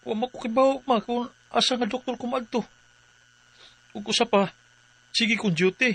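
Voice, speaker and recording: A man's voice: very high voice, steady tone, normal speed, a bit bright, slightly rough, dry recording, heavily acted. A man acting a worried, weary husband in a radio drama, earnest and troubled. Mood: fretful. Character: worried, weary working husband pleading for his wife.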